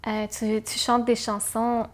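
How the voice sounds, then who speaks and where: A woman's voice: on the high side, flat delivery, measured pace. A woman hosting a talk show, asking questions and giving brief prompts and affirmations. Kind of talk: interview host questions.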